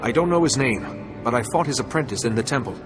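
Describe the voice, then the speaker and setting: A man playing a dutiful, selfless young Jedi hero in a video game, calm, serious and formal. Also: medium pitch, fast, music in the background, close to an everyday voice. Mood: resolute.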